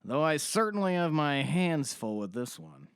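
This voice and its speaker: A man's voice: on the high side, very animated, moderate pace, heavy background noise. A man playing a formal, polite, slightly hesitant middle-aged defense lawyer in an audio drama. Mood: assured, earnest.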